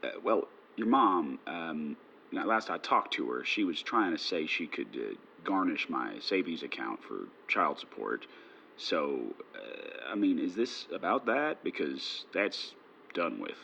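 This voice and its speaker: A deep, gravel-voiced father in an audio drama. He is evasive and cold, halting and slow, heard over a muffled, slightly noisy phone line. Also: sing-song, sharp tone, full character voice. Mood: evasive, wary. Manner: halting, hesitant. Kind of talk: naturalistic radio play acting.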